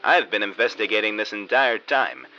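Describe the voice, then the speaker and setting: An actor playing a blunt, exasperated senior detective scolding a partner in a parody noir radio drama. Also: male voice, lowish voice, animated, moderate pace. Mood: stern. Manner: scolding, blunt, mock-tough. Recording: dull lo-fi audio under very loud music.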